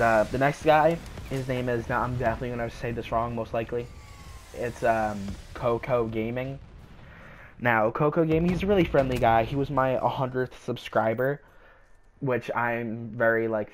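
A man's voice: mid-range voice, fairly even intonation, moderate pace. A young man with a sore, scratchy throat, rambling casually with lots of fillers while he plays a game. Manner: rambling, hesitant, filler-heavy. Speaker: gaming YouTuber. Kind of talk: casual gameplay commentary.

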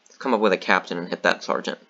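A man's voice: sits low, very fast, dull audio. A man giving casual commentary on his own board game match, thinking aloud with lots of ums and uhs. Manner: thinking aloud, relaxed, many fillers.

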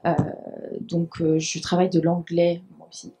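A woman's voice: fairly low pitch, completely flat delivery, normal speed, warm tone. A woman in her thirties speaking on a university panel with a handheld mic, explaining her work in a hesitant way with many fillers. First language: French. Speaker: dubbing adapter speaking on a panel. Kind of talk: conference panel talk. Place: university lecture hall.

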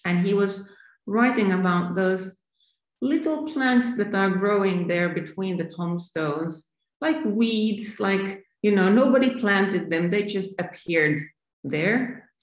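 A Finnish woman in her fifties, an artist calmly and thoughtfully answering questions over a video call from home. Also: medium pitch, takes it slowly. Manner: thoughtful, reflective, restarts phrases. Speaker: artist guest. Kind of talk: remote interview answers. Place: sunny room at home. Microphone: video call laptop camera. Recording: echoey room.

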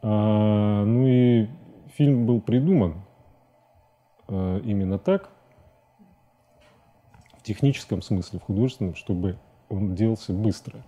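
A middle-aged man talking reflectively about his work as an interview guest in a studio, with halting phrasing. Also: lowish voice, dark tone, muffled recording. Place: TV studio, seated at a desk.

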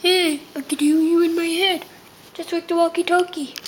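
A child voicing a dopey, good-natured cartoon starfish sidekick in improvised toy play, with halting speech. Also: extremely high pitch, little pitch movement. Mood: cheerful. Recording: faintly noisy home camera recording.

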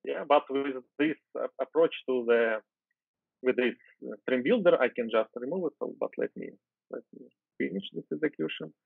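A Ukrainian-native man in his thirties talks through code in a relaxed way, with fillers and restarts. He sits at home on a video call, and the sound is thin and phone-like. The audio is dry, with no room echo. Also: medium pitch, normal speed, gravelly, breathy edge. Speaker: guest software developer. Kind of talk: casual screen-share coding chat.

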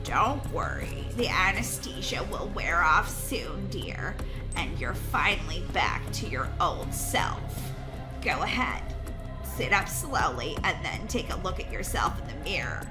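A woman voicing an elderly male mad scientist in a children's game story, smug, sinister, slow and condescending. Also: on the low side, big pitch swings. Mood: calm, sinister. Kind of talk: children's Roblox roleplay story. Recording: background music under the voice.

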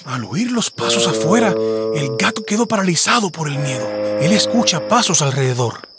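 A male narrator tells a children's folk tale in a scripted storytelling style. His pitch moves in lively, wide swings, and music plays beneath him. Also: moderate pace.

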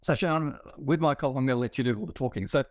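An older man with a hoarse voice from a cough, hosting a business interview over a video call and talking in a chatty way with fillers. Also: medium pitch, quick pace, no room sound, studio quality. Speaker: interview host, business coach.

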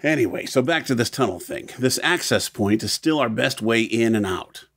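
A man's voice: mid-range voice, lively intonation, moderate pace, a bit bright, no room sound, clean studio sound. A man playing a practical, level-headed planner in an audio drama, speaking matter-of-factly.

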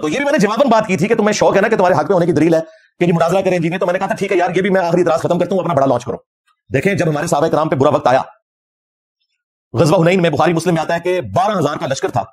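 A man in his forties with a Pakistani Punjabi accent and a husky voice, giving a blunt, taunting, combative religious lecture. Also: on the high side. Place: indoor lecture set.

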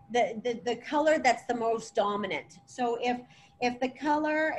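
A middle-aged woman presenting as a stylist in an informal webinar, explaining in a halting way over a video call. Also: on the high side. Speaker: colour analysis stylist presenting. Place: clothing boutique, indoors. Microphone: laptop or tablet on video call. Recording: video call with clear background noise.

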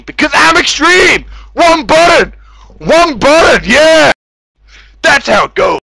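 A man giving a mocking, over-the-top, profane read-aloud of gamers' posts, often breaking into shouts. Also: high voice, gravelly, no room sound, low-quality recording. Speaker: gamer in a voice chat.

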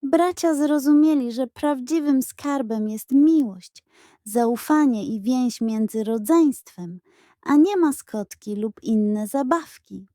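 A woman reading a scripted bedtime story for children in an expressive, careful storytelling manner. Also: high voice, takes it slowly, no room sound, clean studio sound. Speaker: audiobook narrator.

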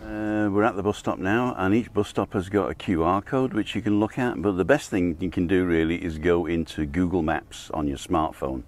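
A middle-aged man talking to camera outdoors in a casual, rambling vlog style. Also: deep voice. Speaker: vlogger. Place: outdoors at a bus stop and a bar terrace.

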